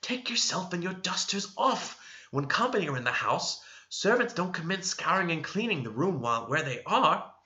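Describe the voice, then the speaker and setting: Man putting on a shrill, willful teenage girl. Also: sing-song, normal speed, sharp tone, lo-fi sound, full character voice. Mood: cross. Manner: imperious, shrill exclamations. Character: willful, spiteful, tantrum-throwing teenage girl.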